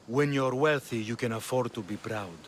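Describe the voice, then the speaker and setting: An actor playing a pompous, wealthy older exile, smug and condescending, in a video game cutscene. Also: male voice, medium pitch, normal speed, slight background noise, lightly acted. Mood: boastful. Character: pompous, wealthy old exiled Athenian man.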